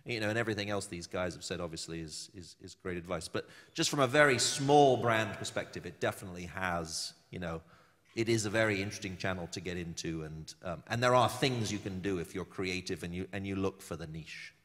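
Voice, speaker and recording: A man speaking on a conference panel in a halting way, repeating words and leaning on "you know". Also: deep voice, a bit bright. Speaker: panel speaker, brand representative. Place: conference hall. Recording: long reverb of a hall.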